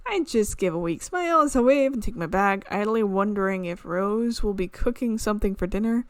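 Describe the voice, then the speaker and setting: A woman streamer reading a visual novel aloud in an expressive storytelling way, with asides. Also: mid-range voice, fairly quick, no echo. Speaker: video game streamer. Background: game music.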